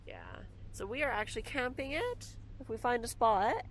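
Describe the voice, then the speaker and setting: A woman's voice: a little high, sing-song, normal speed, a little hiss or hum, low-quality recording. A woman in her thirties from Alberta, Canada, chatting casually with lots of fillers in a travel vlog, filmed on a camera held at arm's length inside a truck. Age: 30s. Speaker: vlogger.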